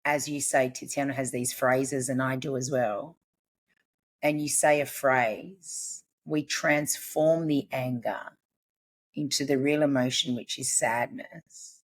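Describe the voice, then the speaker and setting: A woman's voice: extremely low pitch, steady tone. A woman, a family constellations practitioner and podcast guest, explaining her ideas earnestly in casual chat. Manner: earnest, explanatory, quick bursts.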